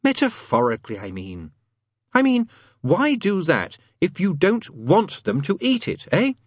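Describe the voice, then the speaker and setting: A man's voice: high voice, huge pitch swings, a little slow, dry recording, clean studio sound, voice pushed up, exaggerated voice. A man voicing a restless, sardonic male demon in an audiobook, insistent and full of rhetorical questions. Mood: insistent, feverish. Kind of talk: dramatised audiobook reading.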